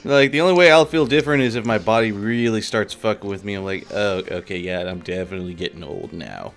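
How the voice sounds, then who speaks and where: Low-voiced man in his twenties, casual banter. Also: quick pace, soft background music. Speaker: gameplay video co-host. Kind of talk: casual let's play banter.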